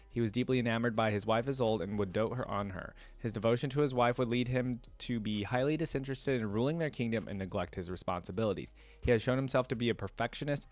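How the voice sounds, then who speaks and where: A man doing casual reaction commentary, reading lore aloud and adding informal, conversational asides. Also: medium pitch. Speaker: reaction video creator.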